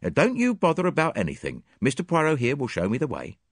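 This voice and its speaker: A man voicing a brisk, plain-spoken English police inspector with a hearty manner. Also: medium pitch, wildly swooping pitch, husky, dry recording, studio quality, voice pushed up, exaggerated voice.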